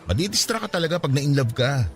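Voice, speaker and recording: A man with a Filipino accent playing a cocky but caring young best friend in a radio drama, bantering and expressive. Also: a little high, fast talker, soft background music. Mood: encouraging.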